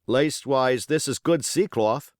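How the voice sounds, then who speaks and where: A man voicing a bold, curious pirate sailor with rough dialect in an audiobook. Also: mid-range voice, slow pace, no echo, studio quality, voice pushed up, close to an everyday voice. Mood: matter-of-fact. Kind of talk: audiobook character voice.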